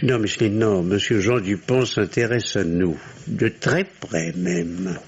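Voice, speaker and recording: A man's voice: lowish voice, animated, measured pace, creaky, a little hiss or hum. An actor playing an anxious, evasive middle-aged family doctor and father in an old-style radio drama, hesitant and theatrical. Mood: uneasy, reassuring. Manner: hesitant, theatrical, repeats phrases reassuringly. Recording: muffled old radio recording.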